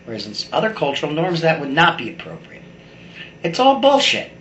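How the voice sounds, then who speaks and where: A man's voice: a little high, wildly swooping pitch, a little fast, a bit bright, dull audio. A middle-aged man giving a rambling, blunt and opinionated personal monologue, like a video blogger talking to his camera. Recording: echoey room with faint background noise.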